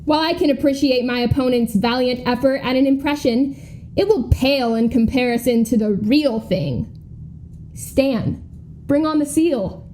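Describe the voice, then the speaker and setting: Schoolgirl presidential candidate, played by a young woman: high voice, pompous speechmaking, radio comedy, faint background noise. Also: moderate pace, dark tone, natural voice. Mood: boastful. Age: young adult. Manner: speechifying, grandiose. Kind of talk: comic radio play acting.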